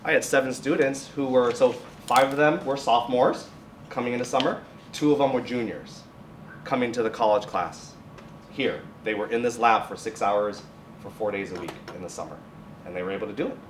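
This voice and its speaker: A man's voice: mid-range voice, brisk. A man speaking informally as a teacher presenting to a group, often restarting and correcting his phrases. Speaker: high school biotech and science teacher, guest speaker. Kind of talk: informal presentation with question and answer. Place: classroom or lab at a college. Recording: echoey room, distant mic, faint noise.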